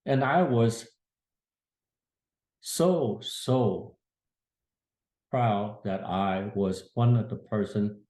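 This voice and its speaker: A man's voice: on the low side, very slow, pauses often, darkish tone. A man in his eighties telling a family story from his youth, plain-spoken and earnest. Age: 80s. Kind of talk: casual spoken memoir. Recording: echoey room.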